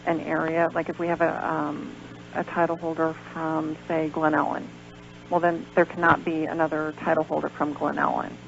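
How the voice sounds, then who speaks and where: A woman's voice: low-pitched, vocal fry. An American woman explaining things as a guest in a radio interview, in a hesitant, explanatory way. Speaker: guest, pageant organizer. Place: radio show. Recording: dull lo-fi radio recording with hum, noise.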